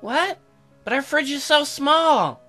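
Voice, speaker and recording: A man acts out a young colonist wife from a visual novel game. The voice is high and girlish, animated and chirpy, turning testy easily. Music plays underneath. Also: slow pace, full character voice. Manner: high-pitched girlish put-on, narrating and bickering. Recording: music behind.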